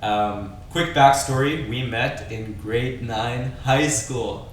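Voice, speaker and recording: Man, 20s, from Austria, podcast host, laid-back casual talk, mid pitch, echoey room, off-mic. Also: takes it slowly, distant mic, slight background noise.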